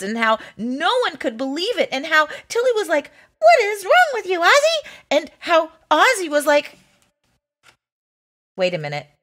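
A woman's voice: sits high, bright, forceful tone, heavily acted. A woman voicing a grumpy, exasperated child who hates reading, melodramatic and complaining, in a storybook read-aloud. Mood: gossipy, excited, then dismissive. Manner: melodramatic, complaining, drawn-out emphasis.

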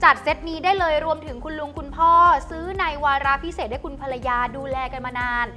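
Young female co-host, very high bright voice, upbeat selling, soft music under it. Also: quick pace. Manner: enthusiastic, selling to viewers. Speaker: shopping show host. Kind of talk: TV shopping presentation. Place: TV studio.

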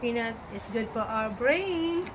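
A woman's voice: fairly high pitch, animated, a little slow. A woman in her forties with a Filipino accent, hosting a cooking vlog in a back garden and chatting casually in a mix of English and Tagalog. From Philippines. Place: small back garden, outdoors. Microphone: camera a few feet away. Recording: outdoor recording with clear background noise.

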